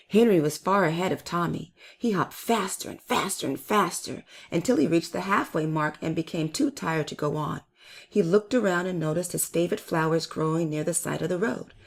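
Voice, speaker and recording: A woman hosting and narrating a children's read-aloud story with expressive, emphatic delivery. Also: on the low side, gravelly. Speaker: storyteller and channel host.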